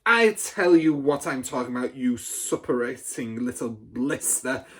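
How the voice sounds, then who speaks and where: A storybook reader voicing a huge, cruel, tyrannical headmistress who barks and booms insults. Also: lively intonation, takes it slowly, a little mellow, breathy edge. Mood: furious, bellowing. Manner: barking, booming insults. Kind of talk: storybook read aloud.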